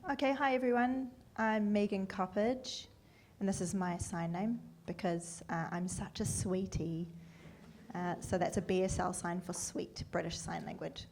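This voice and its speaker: A woman's voice: fairly low pitch, a little slow, darkish tone, slight background noise. A woman voicing a Deaf panellist in the first person, plain and direct. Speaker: sign language interpreter voicing a Deaf panellist.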